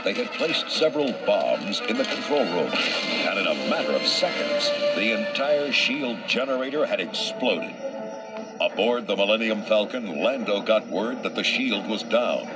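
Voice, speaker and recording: Male adventure storybook narrator, slightly low, suspenseful, scripted, over loud music. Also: lively intonation, measured pace.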